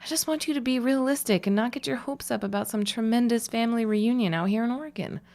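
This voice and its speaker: A woman's voice: on the high side, fast talker, darkish tone, close to an everyday voice. An actress playing a wry, realistic young woman in a period audio drama, dry and blunt, weary of family hopes. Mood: apologetic.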